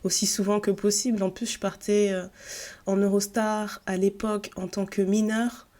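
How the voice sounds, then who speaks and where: A woman from northern France, in her thirties, speaks with a warm, mellow voice at an everyday pitch. She tells her story in a relaxed, rambling way, full of fillers and restarts, as a podcast guest. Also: normal speed. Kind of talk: casual storytelling chat.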